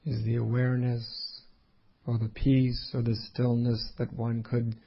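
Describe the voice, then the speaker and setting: A man leading a guided meditation as a teacher, speaking unhurriedly in short phrases with long pauses. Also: mid-range voice, completely flat delivery, husky, lo-fi sound.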